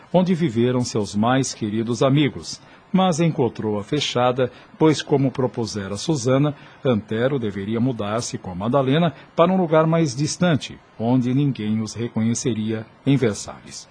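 A man's voice: mid-range voice, animated, normal speed, hardly any pauses, darkish tone, breathy edge. A man giving scripted narration for a radio soap opera in a solemn, literary manner.

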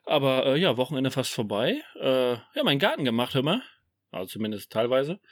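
Joking German podcast co-host. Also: male voice, medium pitch, big pitch swings, fairly quick, no echo, clean studio sound. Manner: jokey, teasing, rambling with many fillers. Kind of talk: casual chat. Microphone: online call mic.

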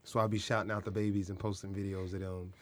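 A man in his thirties from Kansas City, a podcast guest talking in a relaxed, reflective way with casual slang. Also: low-pitched, quick pace. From Kansas City, Missouri, USA. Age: 30s. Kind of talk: casual interview chat.

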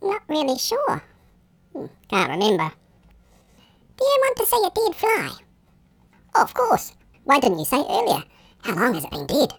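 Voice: sits high, huge pitch swings, normal speed, creaky, long pauses, exaggerated voice. A performer voicing small, childlike, quirky cartoon creatures from a picture book, with exaggerated playful delivery. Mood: curious. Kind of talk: picture book character voices.

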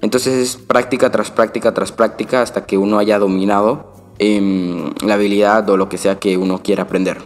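A man's voice: lowish voice, level tone, moderate pace. A young man from Venezuela co-hosting a podcast, talking conversationally about self-improvement. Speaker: podcast co-host. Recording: long reverb, music behind the voice. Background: music.

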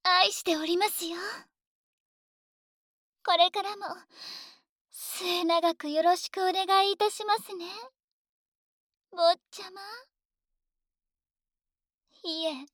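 A woman plays a devoted dragon maid in an intimate ASMR drama, high in pitch and unhurried. Her servant's politeness is possessive and seductive, coaxing the listener and often falling to a whisper. The sound is dry and very close to the microphone. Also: steady tone, a bit bright, dry recording, exaggerated voice. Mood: smitten, eager.

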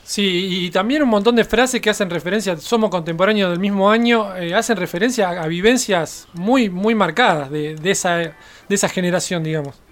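A man's voice: high voice, a little fast. An Argentine man chatting casually as a radio co-host. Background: music.

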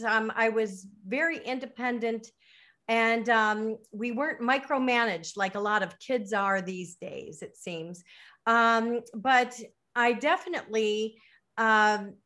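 Brief: woman, late fifties, guest, bright voice, hesitant with many ums. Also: on the high side. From United States. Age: 50s. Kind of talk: casual interview talk.

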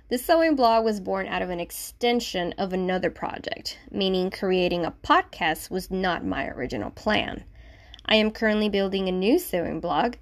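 Woman, puerto rican accent, spanish native speaker, solo podcast host, chatty, self-deprecating, talks straight to the listener. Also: medium pitch. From Puerto Rico. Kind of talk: casual solo podcast talk.

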